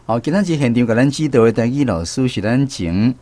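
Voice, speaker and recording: A man's voice: mid-range voice, lively intonation, fairly quick, mellow, no room sound. Taiwanese man hosting on radio. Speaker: radio show host. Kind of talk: hosting a language quiz segment.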